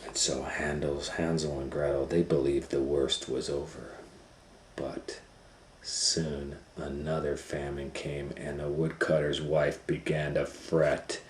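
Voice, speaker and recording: Deep-voiced man reading a dramatic children's story. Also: flat delivery, slow. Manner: dramatic, swinging from hushed whispers to loud exclamations. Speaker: storytime reader and host. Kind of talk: animated children's story read-aloud. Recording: roomy echo, faint background noise.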